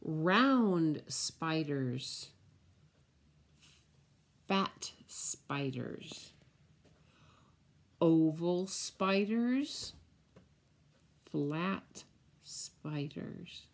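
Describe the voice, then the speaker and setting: A middle-aged woman, a preschool teacher, reading a picture book aloud to small children and explaining things simply in a deliberate, drawn-out way. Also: deep voice, expressive. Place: indoors, room at home with window blinds.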